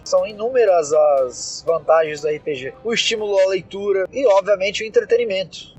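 A Brazilian man with a notably high-pitched voice talks in a casual, conversational monologue. He sounds earnest and enthusiastic as he explains things, with faint music playing behind him. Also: rough recording. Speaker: game designer, podcast guest.

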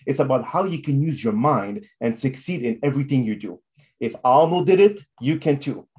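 A man's voice: mid-range voice, expressive, normal speed, darkish tone. A man hosting an interview podcast in a formal, effusive and motivational manner. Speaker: podcast host.

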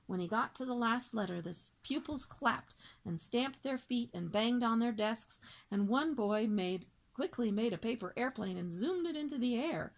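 A woman teacher reads a children's novel aloud to her students at an unhurried pace. Her voice is slightly high with a distinct gravelly fry. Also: lively intonation, rough recording.

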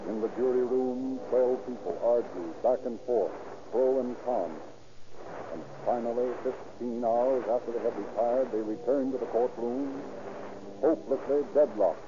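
A man's voice: medium pitch, unhurried, natural voice. A man with an American accent narrating and announcing for a 1930s radio program in a formal, declamatory manner. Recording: muffled, noisy old radio recording.

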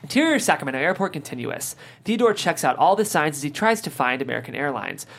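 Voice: mid-range voice, very animated, quick pace, creaky, a bit bright. A narrator reading the scene headings and action lines of a screenplay as scripted narration. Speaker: narrator reading stage directions.

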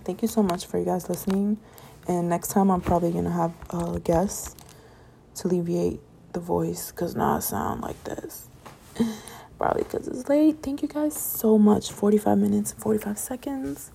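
Young woman, solo podcast host, gravelly and a bit hoarse, mellow tone, rambling and preachy about positivity. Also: mid-range voice, normal speed, slight background noise. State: voice getting raspy from talking late. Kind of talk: late-night solo podcast monologue.

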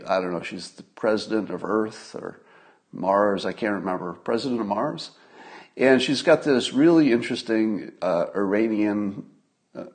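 A man hosting a solo livestream, giving casual political commentary in a conversational, emphatic manner with pauses for effect. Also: on the low side, darkish tone, husky.

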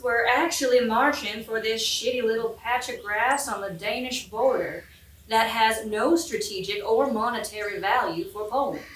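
A woman playing a frank, deadpan army captain in a satirical modern-language audio play. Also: a little high, natural voice. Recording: echoey room, distant from mic.

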